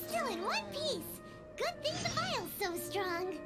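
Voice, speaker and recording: A woman voicing a tiny, perky, childlike fairy companion in a video game, squeaky, bubbly and eager. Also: very animated, unhurried, loud music behind the voice, heavily acted. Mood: relieved. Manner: bubbly, exclamatory.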